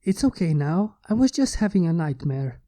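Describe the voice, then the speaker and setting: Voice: medium pitch, very animated, moderate pace, dark tone, pitched below the performer's own voice, close to an everyday voice. A storyteller voicing a guilt-ridden male inventor who is a protective father, in a fan fiction reading. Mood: soothing. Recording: music behind the voice.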